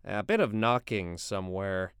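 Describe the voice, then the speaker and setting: A man playing a gruff, stern pipe-smoking father in a read-aloud story. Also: low voice, normal speed, fairly bright tone, dry recording, clean studio sound, voice pushed down. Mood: joking.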